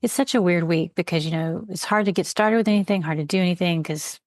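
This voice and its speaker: A woman's voice: lowish voice, fast talker, darkish tone, no room sound, studio quality. Laughing woman podcast host. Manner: friendly, laughs often. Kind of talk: casual chat.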